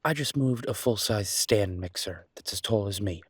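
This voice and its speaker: A man playing an easygoing, teasing chef boyfriend in an intimate audio roleplay, chatting with affectionate, playful exasperation in a husky voice. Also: lowish voice, moderate pace, dry recording, studio quality. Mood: exasperated, teasing. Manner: conversational, playful, exasperated banter.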